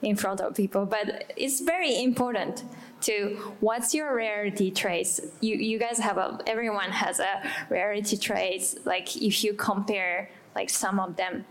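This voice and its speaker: A woman with a Japanese accent, a native Japanese speaker, speaking as a panelist in halting English while she searches for words. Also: medium pitch, fairly even intonation, poor audio. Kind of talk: live panel discussion.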